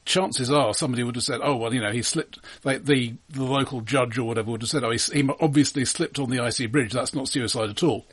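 A man chatting casually about films as a podcast co-host, in a halting, wry, conversational way. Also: medium pitch, steady tone, slightly rough. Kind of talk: casual film discussion.

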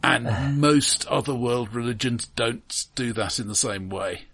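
A man's voice: medium pitch, measured pace, a bit bright, breathy edge. A man co-hosting a film podcast in casual discussion, speaking hesitantly and repeating words as he thinks aloud.

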